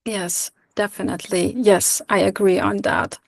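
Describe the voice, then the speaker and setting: Young woman panelist, earnest and halting, slight fry, dry video-call sound. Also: mid-range voice, little pitch movement, no echo. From Kurdistan region of Iraq. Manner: earnest, with frequent ums and restarts. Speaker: webinar panelist, Kurdish diaspora activist. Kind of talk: webinar discussion.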